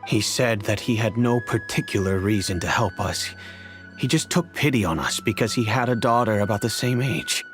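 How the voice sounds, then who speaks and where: A man's voice: fairly low pitch, lightly acted. A man playing a dignified middle-aged father and family head in a video game, speaking formally and solemnly. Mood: reflective. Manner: formal, grave, measured. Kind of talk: video game character acting. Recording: music behind the voice.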